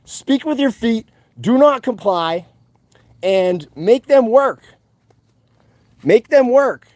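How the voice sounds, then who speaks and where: A loud, defiant man rants to his viewers in a high-pitched voice. He swears freely and hammers his points home by repeating them. The sound is dry and close. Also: lively intonation, slow pace, no room sound. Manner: profane. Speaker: activist channel host. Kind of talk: fired-up monologue to viewers.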